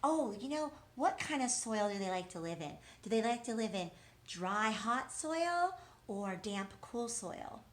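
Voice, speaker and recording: A woman with a slightly high voice leads an interactive lesson for young children at a very slow pace. She poses simple questions and answers them herself as though children had replied. Her manner is encouraging, and she repeats key words deliberately. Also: sing-song. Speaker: teacher.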